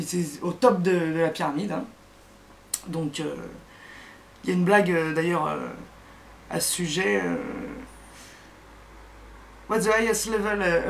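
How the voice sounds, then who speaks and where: A man hosting a satirical comedy monologue, sarcastic and mocking, with a halting delivery full of restarts. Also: fairly high pitch, slight background noise.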